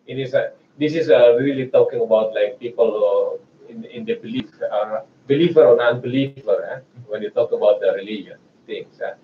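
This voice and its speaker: A man's voice: mid-range voice, slight background noise. A man in his forties with an Indonesian accent, giving a chatty research seminar lecture with hesitant restarts. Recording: echoey, distant, muffled room mic.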